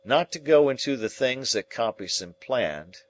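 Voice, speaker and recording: A man voicing a rough old male convict, gruff and plainspoken, in a rustic dialect. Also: medium pitch, animated, a little slow, slight background noise, pitched above the performer's own voice, natural voice. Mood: grim storytelling.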